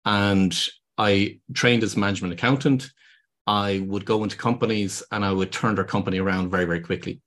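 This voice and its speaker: A man's voice: lowish voice, fairly even intonation, fairly quick, a bit bright. A man with an Irish accent, a podcast guest and business consultant, explaining earnestly with frequent ums and restarts. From Ireland. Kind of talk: podcast interview.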